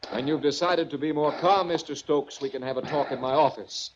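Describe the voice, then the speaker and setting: A man's voice: fairly high pitch, a little fast, background noise, natural voice. A man acting a stern, condescending sanitarium official in a 1940s radio drama, clipped and commanding. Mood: coolly patronising. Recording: muffled 1940s radio recording.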